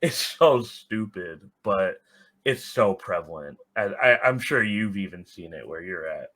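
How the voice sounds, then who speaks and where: A man's voice: low-pitched, lively intonation, measured pace, fairly bright tone. A man chatting casually and bluntly as a podcast guest, peppering his talk with "like" and the odd swear word. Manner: blunt, swearing, heavy use of "like". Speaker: podcast guest, soccer supporters group organizer. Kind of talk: casual, candid podcast conversation.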